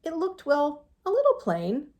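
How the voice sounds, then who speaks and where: A woman teacher reading a storybook aloud to young children, expressive, with chatty asides and gentle checking questions aimed at them. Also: sits high, measured pace, dull audio.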